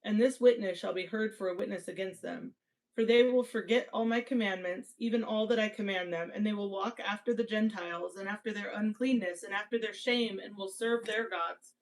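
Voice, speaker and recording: A woman's voice: mid-range voice, brisk, poor audio. A woman co-hosting a casual livestream, chatting playfully and reading Bible passages aloud. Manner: playful, chatty, reads scripture steadily. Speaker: livestream co-host.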